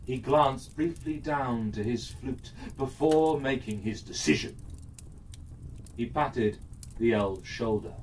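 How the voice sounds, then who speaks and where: A man narrating a scripted children's fairytale as a storyteller, expressive and deliberate. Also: mid-range voice. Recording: distant mic with faint background noise.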